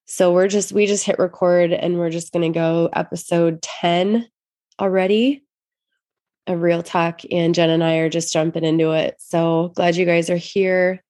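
A woman's voice: fairly low pitch, steady tone, unhurried, a little mellow, dry recording, clean studio sound. A woman co-hosting a casual faith podcast, chatty and rambling, her voice stuffy as she gets over an illness. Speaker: podcast co-host.

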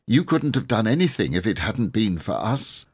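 A man's voice: fairly low pitch, sing-song, moderate pace, husky, heavily acted. A man voicing an earnest, flustered young boy who stumbles and corrects himself politely in a storybook reading. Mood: blurting. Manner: stumbling, self-correcting, polite schoolboy chatter.

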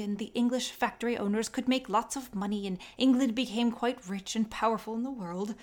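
An earnest American machinist lectures like a tour guide, read by a woman. His voice sits a bit high. He explains patiently, as if showing visitors around. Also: natural voice.

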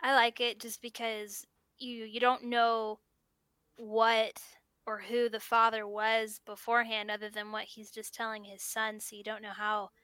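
A woman's voice: fairly high pitch, monotone, measured pace, pushed and bright, smooth, no room sound. A woman co-hosting a fiction podcast, narrating a story and then chatting about it in an earnest, thoughtful way. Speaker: podcast co-host and story reader. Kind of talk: story narration and casual chat.

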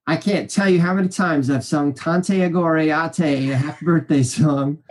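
A man in his forties with a high, light speaking voice tells long stories in a chatty, meandering way. He leans on fillers and restarts his sentences as he goes. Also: steady tone, a little slow. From Kansas City area, US. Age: 40s. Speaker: guest. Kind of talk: casual interview storytelling.

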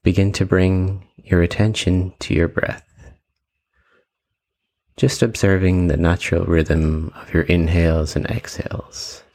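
A man leading a guided meditation with calm, measured instructions and long pauses between phrases. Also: deep voice.

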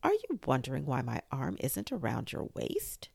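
A woman's voice: rumbling low, huge pitch swings, normal speed, vocal fry, a little mellow, a little hiss or hum, full character voice. A woman voicing a fussy, moralizing old Duchess in a storybook reading, with a preachy, sing-song manner.

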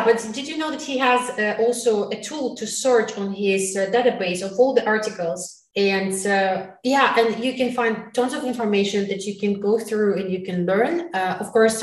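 A woman answering casually as an interview guest, halting as she searches for words, with non-native phrasing. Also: medium pitch. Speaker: interview guest, recruiting sourcer. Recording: roomy, echoey, distant mic.

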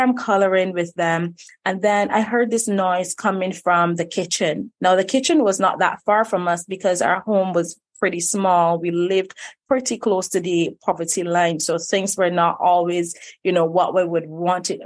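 A woman from Barbados, a life coach telling her childhood story in a podcast interview, earnest and reflective. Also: on the low side. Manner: earnest, reflective, with frequent "you know". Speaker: guest, life purpose coach.

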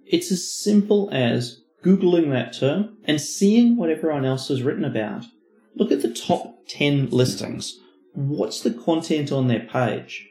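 A man's voice: mid-range voice, darkish tone. A man co-hosting a podcast, explaining as an expert in an emphatic, conversational manner. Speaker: podcast co-host. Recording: roomy, echoing voice recording.

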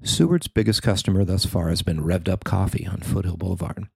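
A man narrating a newspaper feature he wrote, in a measured, even reading. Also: sits low, gravelly, husky, no echo, studio quality. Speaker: newspaper columnist reading his story. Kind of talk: scripted narration.

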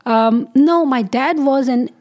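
A woman from Pakistan sits as a guest in a studio interview. Her voice sits a little high, and she talks her way through her story hesitantly, filling pauses and laughing often. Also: darkish tone. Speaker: guest physician. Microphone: studio mic.

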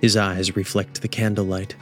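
A man's voice: on the low side, level tone, normal speed, soft background music. A man reading scripted story narration as the narrator of an audio drama.

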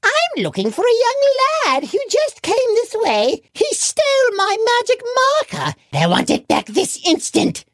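A woman voicing a cackling, scheming female witch in a children's bedtime story, drawn-out and theatrical. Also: very high-pitched, wildly swooping pitch, no echo, clean studio sound, pitched above the performer's own voice, exaggerated voice. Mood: haughty. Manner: drawn-out, sing-song and theatrical.

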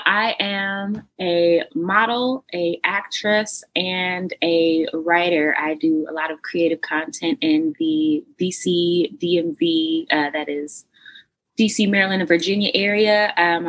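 A woman with a Texan accent, a model and actress chatting as an interview guest, lively and quick to laugh. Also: lowish voice, rough recording. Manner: lively and talkative, laughs easily. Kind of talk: casual interview chat.

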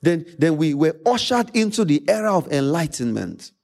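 Male pastor, Nigerian accent, Yoruba native. High-pitched, swooping delivery; emphatic sermon with repeated phrases and dramatic pauses. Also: sing-song, gravelly, darkish tone. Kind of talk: preaching a sermon.

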